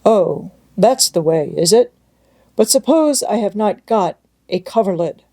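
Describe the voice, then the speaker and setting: Woman doing gruff old grandpa for a storybook, terse, measured, kindly underneath. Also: mid-range voice, expressive, warm tone. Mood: mild, patient. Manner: terse, measured, matter-of-fact. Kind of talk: storybook read-aloud character voice.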